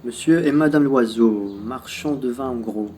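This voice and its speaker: A man's voice: medium pitch, measured pace, darkish tone, natural voice. A man playing a polite, deferential wine merchant in a radio play. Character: deferential male merchant, respectable married wine dealer. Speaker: student actor. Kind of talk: radio play acting in French.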